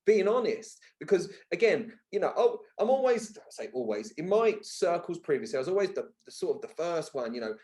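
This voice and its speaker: A man with an English accent and a fairly high-pitched voice talks as a guest in a casual interview. His delivery is colloquial and blunt, with emphatic points made in everyday language. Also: very animated, fairly quick, hardly any pauses, a little mellow. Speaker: podcast guest. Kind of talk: casual conversational interview talk.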